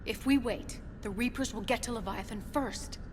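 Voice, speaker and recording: A woman's voice: on the high side, moderate pace, faint music behind, natural voice. A woman playing a brave, stubborn young researcher in a video game drama, speaking earnestly and insistently. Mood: insistent, defiant. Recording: reverberant space with faint ambient noise.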